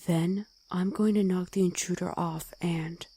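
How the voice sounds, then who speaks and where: A woman voicing a gruff, protective wolf girl ex-soldier in an ASMR roleplay, stern and commanding, often in a hush. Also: fairly low pitch, steady tone, a little slow. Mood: hushed, urgent.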